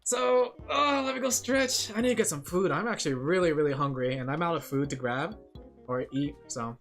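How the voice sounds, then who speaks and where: Casual woman streamer who rambles and laughs a lot, lowish voice with big swings in pitch, quiet music under her. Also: lively intonation, brisk. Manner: rambling, chatty, laughs often. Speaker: VTuber streamer. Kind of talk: casual livestream chat while drawing.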